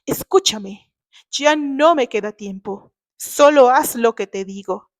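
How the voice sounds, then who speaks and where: A woman's voice: fairly high pitch, slow pace, dry recording. Woman acting out a stern dying father, urgent and breathless. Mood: desperate, urgent. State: weakening, struggling for breath. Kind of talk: dramatic audio novel reading.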